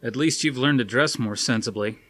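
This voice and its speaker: A man voicing a calm, self-assured, weary male scientist in a storybook reading, dry and matter-of-fact. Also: mid-range voice, a little fast, close to an everyday voice.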